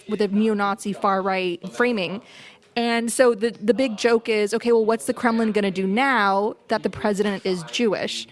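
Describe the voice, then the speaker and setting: A woman hosting a political podcast, explaining at length with passionate emphasis. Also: medium pitch. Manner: passionate, emphatic, talks in long run-on explanations. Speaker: podcast host.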